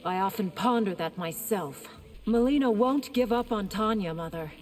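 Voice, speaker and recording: An actress playing a loyal, composed young princess in a video game, speaking with earnest poise. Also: female voice, medium pitch, animated, normal speed, a little mellow, in a large hall, lightly acted. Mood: composed, dutiful. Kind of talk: dramatic video game dialogue.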